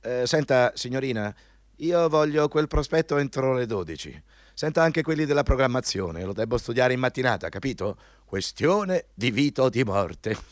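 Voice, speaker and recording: A man's voice: mid-range voice, lively intonation, moderate pace, dry recording, studio quality, close to an everyday voice. An actor in an Italian radio play playing an overbearing, condescending male company boss who hammers out his orders pompously. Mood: brisk, demanding. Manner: pompous, insistent, hammering repeated commands.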